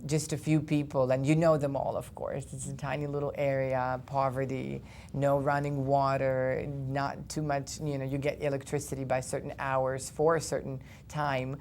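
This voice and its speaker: A young man from Ukraine, earnestly telling his personal story as an interview guest, with quick animated bursts. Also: medium pitch, a little hiss or hum. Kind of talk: personal testimony in a studio interview.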